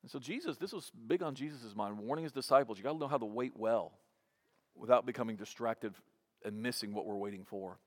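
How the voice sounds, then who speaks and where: A man's voice: mid-range voice, a little fast. Man in his fifties preaching conversationally. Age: 50s. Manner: conversational preaching, repeats words when restarting. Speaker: pastor. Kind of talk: sermon.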